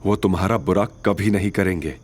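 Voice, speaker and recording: Calm, reassuring young hero voiced by a man. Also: low voice, normal speed, soft background music, close to an everyday voice. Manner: soothing, composed, gentle. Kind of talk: Hindi audio drama acting.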